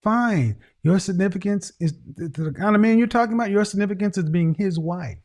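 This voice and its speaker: A man with a fairly high voice lays into a caller with blunt, lecturing advice, repeating his points for weight. He sounds middle-aged and talks over a video call. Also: a little fast, a little mellow. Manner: harsh, lecturing, repeats phrases. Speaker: host.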